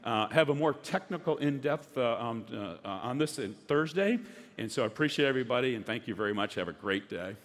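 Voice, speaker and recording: A man's voice: medium pitch, expressive, a little fast. A middle-aged man giving a conference keynote on a large stage, earnest and full of restarts. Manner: earnest, repeats words, many restarts. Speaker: conference speaker. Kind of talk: keynote presentation.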